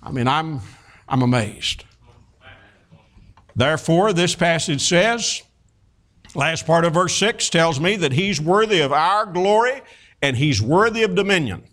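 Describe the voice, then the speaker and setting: Man preaching, fifties, folksy, high voice, swooping pitch. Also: a little slow, pauses often. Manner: folksy, animated storytelling with many restarts and fillers. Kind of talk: sermon.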